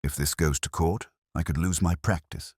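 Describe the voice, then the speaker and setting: A man's voice: low voice, fairly even intonation, normal speed, darkish tone, slightly rough, no echo, studio quality. A man voicing a polite but evasive professional under suspicion, guarded and defensive, in an audiobook mystery. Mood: defensive, worried. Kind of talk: audiobook detective story dialogue.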